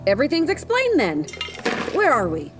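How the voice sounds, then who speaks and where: An actress playing a plucky young squad hero in an audio drama, wry and exclamatory. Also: female voice, high voice, huge pitch swings, normal speed. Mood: groggy, bewildered. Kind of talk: scripted audio drama acting. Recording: loud music under the voice.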